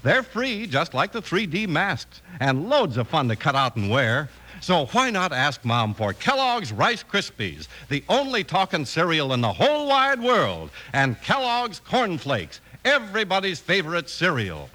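A man's voice: fairly high pitch, wildly swooping pitch, measured pace, sharp tone, low-quality recording. A man with an American accent announcing and narrating in an upbeat, polished 1950s radio style.

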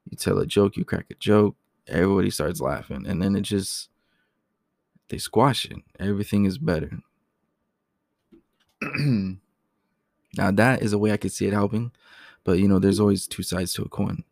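A raspy-voiced man talks through his topics in a loose, chatty way, stopping now and then to clear his throat. His voice sits low with a gravelly fry. The sound is close and dry, with no room around it. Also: normal speed, long pauses, a little mellow, no echo. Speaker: podcast host. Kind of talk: casual solo talk.

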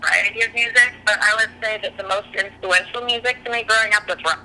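A chatty woman speaking as a podcast guest, her voice very bright and forward. She talks openly and laughs easily. Faint noise hums behind her. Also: mid-range voice, steady tone, fast, rough recording. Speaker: guest, author and singer. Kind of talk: podcast interview conversation.

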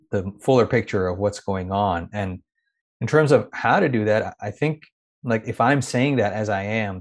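A man who grew up in India, now a young adult, speaking in a thoughtful, searching way as an interview guest, often restarting his sentences. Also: on the low side. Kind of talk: reflective conversational interview.

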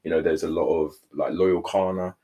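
A man's voice: sits low, steady tone, moderate pace, mellow. A young man, co-hosting a podcast in loose, rambling casual chat full of fillers. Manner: laid-back, rambling, lots of "like" and "you know what I'm saying". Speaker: podcast co-host.

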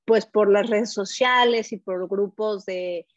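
Female podcast guest, Mexican accent, expressive casual storyteller, dry but slightly muffled lo-fi sound. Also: mid-range voice, slow pace, no echo. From Mexico City, Mexico. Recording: dull lo-fi microphone sound.

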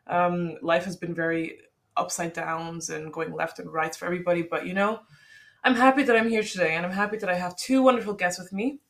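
A woman's voice: lowish voice, fairly quick. A woman hosting a casual podcast, chatty and rambling, with lots of "like" and restarts. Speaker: podcast host. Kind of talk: casual chat.

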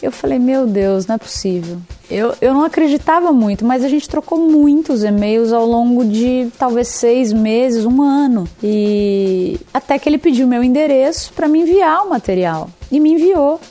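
A woman from Brazil recounts her past in an easy, conversational way, leaping up in pitch as she replays her own reactions. Her voice sits on the higher side with a mellow, darker tone. Faint music plays beneath her. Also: expressive, normal speed, hardly any pauses. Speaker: interviewee telling her story. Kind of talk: casual storytelling.

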